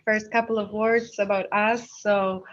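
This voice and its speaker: A woman with a Polish accent speaks as a workshop instructor, explaining things informally. Her delivery is slow and halting, with frequent hesitations between short phrases. The sound is slightly dull, like a live-stream recording. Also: mid-range voice, little pitch movement, fairly bright tone. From Poland. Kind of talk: informal technical workshop presentation.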